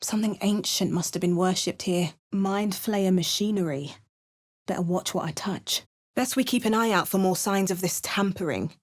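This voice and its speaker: A woman's voice: mid-range voice, moderate pace, natural voice. A woman voicing a wry young adventurer heroine. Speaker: player character voice. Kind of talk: video game voice lines.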